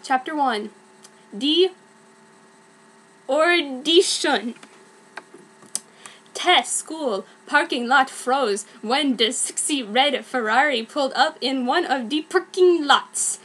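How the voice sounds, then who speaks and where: A young adult woman giving an amateur dramatic reading of badly written fanfiction, sounding out its mistakes deliberately. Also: sits high, animated, fairly bright tone.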